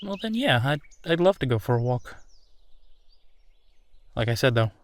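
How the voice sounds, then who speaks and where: A man playing an easygoing, playful werewolf boyfriend in a roleplay audio, talking casually and haltingly with a self-deprecating streak. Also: mid-range voice, sing-song, a little hiss or hum. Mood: warm, easygoing. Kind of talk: intimate boyfriend roleplay audio, improvised-sounding one-sided conversation.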